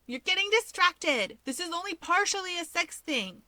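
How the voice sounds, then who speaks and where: Excitable mischievous girl in her youth for an audiobook , played by a woman: very high, bright, chirpy. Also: moderate pace, no echo, full character voice. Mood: agitated. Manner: chirpy, animated.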